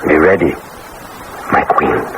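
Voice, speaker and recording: An actor playing a grave, conspiratorial courtier in a solemn, intense old radio drama style. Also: male voice, a bass voice, animated, slow pace, heavily acted. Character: grave, conspiratorial male courtier plotting a coup. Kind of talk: 1970s-80s radio drama acting. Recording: noisy old radio broadcast.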